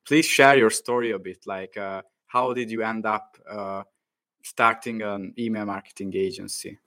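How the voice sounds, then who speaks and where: A man's voice: fairly low pitch, steady tone, moderate pace, pushed and bright. Man hosting a podcast, filler-heavy. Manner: many "uh" fillers, loose phrasing. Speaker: podcast host. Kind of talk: casual podcast interview.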